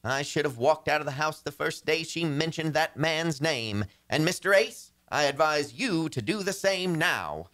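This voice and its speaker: A man's voice: a little high, animated, moderate pace, few pauses, fairly bright tone. An actor playing a flustered, henpecked married businessman in a radio comedy, pleading in a melodramatic, agitated way. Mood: urgent pleading. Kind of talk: old-time radio comedy acting.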